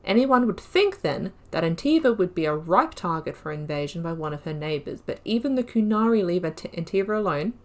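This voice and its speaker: A woman's voice: lowish voice, very animated, a little fast, few pauses. A woman hosting a game playthrough, reading lore entries aloud and breaking off into casual, rambling asides. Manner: chatty, trails off and restarts mid-sentence. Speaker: gaming video host.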